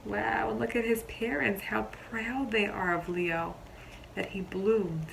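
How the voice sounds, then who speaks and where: A woman teacher reading a picture book aloud to young children, expressively, pausing to explain simply and ask questions. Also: medium pitch, gravelly, a little hiss or hum, poor audio. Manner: expressive storybook delivery, explains simply, pauses to question listeners.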